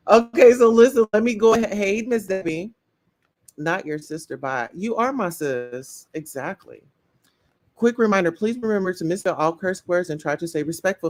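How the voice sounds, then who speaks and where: A woman hosting a live-stream commentary show, outspoken and talking straight to her chat viewers. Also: medium pitch. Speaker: livestream commentary host.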